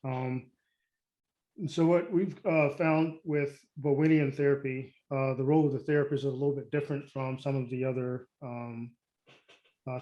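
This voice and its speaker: A man giving a class presentation, halting and searching for his words. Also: medium pitch, a little mellow, highs cut off. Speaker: student presenter. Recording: roomy echoing room.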